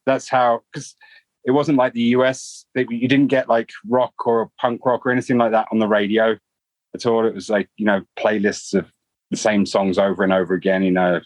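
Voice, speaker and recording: A man's voice: lowish voice, normal speed, dry recording. A man in his forties with a London accent, a guitarist chatting casually in an interview with lots of fillers. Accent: London English. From England. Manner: relaxed, rambling, many fillers.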